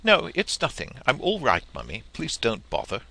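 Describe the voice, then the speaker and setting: Audiobook role: polite, flustered young son, played by a man, hesitant, lifted well above a deep register, animated. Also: normal speed, vocal fry, heavily acted. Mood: flustered, reassuring. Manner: hesitant, apologetic.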